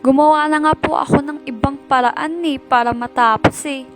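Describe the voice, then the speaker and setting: A woman's voice: high voice, steady tone, moderate pace, close to an everyday voice. A woman playing an aggrieved, emotional teenage daughter in an amateur radio drama. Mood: defensive, resentful. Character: aggrieved teenage eldest daughter, grieving and defensive. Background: background music.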